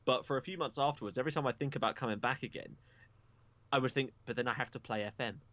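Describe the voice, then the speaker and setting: A man's voice: medium pitch, fast, fairly bright tone, no room sound, highs cut off. A young man chatting frankly as a podcast guest, self-deprecating and swearing casually. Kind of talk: casual chat.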